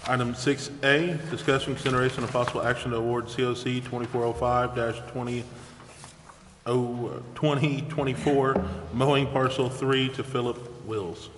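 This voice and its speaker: A man's voice: mid-range voice, steady tone, normal speed. A man chairing a city council meeting, moving through formal procedure with some informal remarks. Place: large indoor council chamber. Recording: echoey council chamber with noise.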